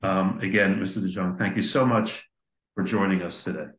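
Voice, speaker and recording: Low-voiced older male host, echoey room. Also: steady tone, moderate pace, a bit bright. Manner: formal introduction with fillers. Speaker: interview host. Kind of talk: hosting a video-call interview. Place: office indoors. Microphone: computer mic on video call. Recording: echoey room over video call.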